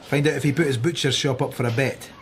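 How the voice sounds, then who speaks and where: An actor playing a dogged, sardonic police inspector in a radio drama, with a brusque, probing way of talking. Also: male voice, mid-range voice, quick pace, slight background noise, lightly acted. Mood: intent, brisk.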